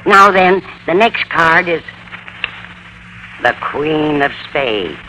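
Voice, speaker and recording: Slow, fluttery, dotty older landlady. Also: sits low, animated, bright, forceful tone, exaggerated voice. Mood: mysterious, portentous. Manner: fluttery, dramatic, fussy. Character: dotty, superstitious, easily offended older landlady. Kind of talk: 1940s-50s radio comedy acting. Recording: noisy old-time radio recording.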